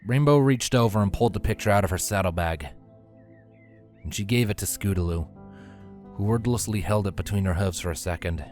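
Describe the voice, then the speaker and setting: Low-voiced male story narrator. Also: brisk, a little mellow, faint music behind.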